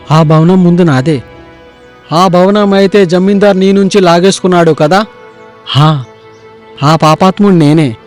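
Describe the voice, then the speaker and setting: A man voicing earnest, respectful figures of court and forest in an animated moral story, with formal, declamatory dialogue. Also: high-pitched, natural voice.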